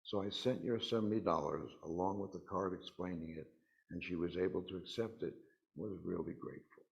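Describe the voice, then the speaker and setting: A man's voice: low-pitched, fairly even intonation, brisk, gravelly. A man reading personal stories aloud at a worship service, in a dull, slightly muffled recording.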